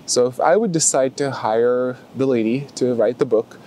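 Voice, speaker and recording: A man's voice: mid-range voice, normal speed, mellow, a little hiss or hum. Young Lithuanian-accented man talking outdoors. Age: young adult. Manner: chatty, frequent restarts and fillers. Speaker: martial arts vlogger. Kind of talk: casual talk to camera. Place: outdoors on a grassy field. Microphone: camera close in front.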